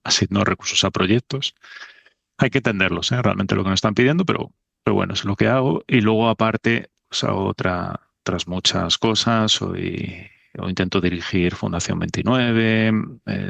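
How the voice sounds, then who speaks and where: A man's voice: low-pitched, creaky, fairly bright tone, no room sound. A middle-aged man from Spain, a podcast guest talking in a thoughtful, self-deprecating way. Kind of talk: casual conversation.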